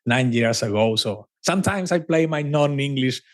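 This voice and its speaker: A man from Argentina, a native Spanish speaker with an Argentine accent, talking as a podcast guest in an animated, repetitive, conversational way. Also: medium pitch, normal speed. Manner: animated, with frequent restarts and emphatic repetition. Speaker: podcast guest, cloud advocate. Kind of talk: casual conversational interview.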